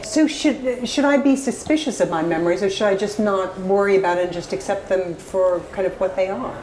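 A woman's voice: mid-range voice, brisk, a little mellow, roomy sound, a little hiss or hum, rough recording. A woman with a British accent interviewing an author in a shop kitchen, curious and friendly in her questions. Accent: British English.

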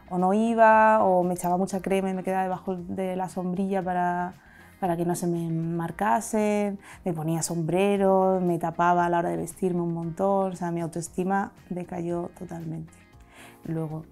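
A woman in her thirties from Madrid with a Castilian Spanish accent, speaking candidly and reflectively in an interview, seated on a sofa in a bright studio with a lapel mic. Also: lowish voice. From Madrid, Spain. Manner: reflective, open, gesturing with her hands. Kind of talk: candid personal testimony.

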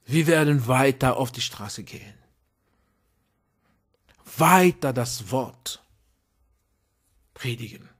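A man preaching a sermon in a language he learned as an adult, hesitant and repeating words, then rising to emphatic, high-pitched exhortation. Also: expressive, takes it slowly, long pauses, husky. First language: not German. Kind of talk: impassioned sermon.

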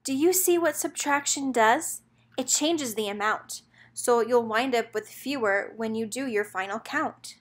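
A woman's voice: fairly high pitch, unhurried. A woman narrator reading a children's picture book aloud, expressively and in a rhythmic lilt of rhyming verse.

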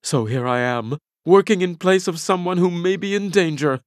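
An actor playing a pompous, theatrical alien Jedi master in a video game, with florid and grandiose diction. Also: male voice, sits high, normal speed, clean tone, no echo, studio quality, natural voice. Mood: apologetic, aggrieved. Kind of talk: video game character voice clips.

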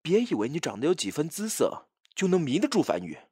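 Man acting a husky, swooping, cocky rival. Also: a little high, wildly swooping pitch, brisk, warm tone, no room sound, studio quality, full character voice. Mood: taunting, threatening. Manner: taunting, drawling, theatrical. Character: cocky, jealous, taunting male rival in love. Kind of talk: audio drama acting.

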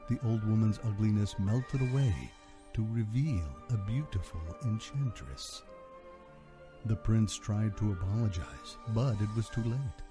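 A man giving a dramatic, scripted fairy-tale narration in measured, solemn, short phrases. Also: fairly low pitch. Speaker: podcast host and narrator. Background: music under the voice.

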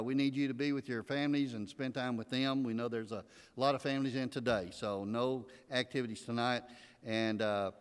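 A man's voice: mid-range voice, smooth. An older man with an East Texas accent giving folksy church announcements from a pulpit, with many filler uhs. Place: large church sanctuary with choir behind. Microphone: pulpit mic. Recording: long reverb of a big sanctuary.

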